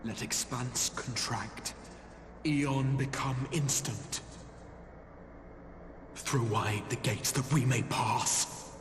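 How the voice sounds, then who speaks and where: An actor playing a mysterious disembodied voice in a video game, solemnly prophesying doom in formal, portentous phrases. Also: male voice, medium pitch. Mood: solemn, urgent. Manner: portentous, slow, formal delivery. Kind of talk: dramatic video game voice acting. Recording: echoing voice over noisy ambience.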